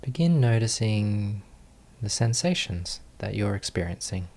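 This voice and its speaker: A man leading a guided meditation as a teacher, speaking gently and calmly, unhurried, with long pauses between phrases. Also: fairly low pitch, creaky.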